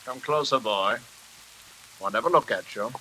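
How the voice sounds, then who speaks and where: A man's voice: medium pitch, moderate pace, sharp tone, husky, low-quality recording. An actor playing a bitter, bullying invalid father who scolds and barks orders, in the style of 1940s American radio drama. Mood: gruff, disapproving. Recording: hissy vintage radio recording.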